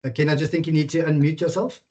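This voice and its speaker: A man casually presenting his business software on a webinar, with many ums and uhs. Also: fairly high pitch, fairly even intonation, fast. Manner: casual, filler-heavy. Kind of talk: product presentation. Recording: dull lo-fi video-call audio.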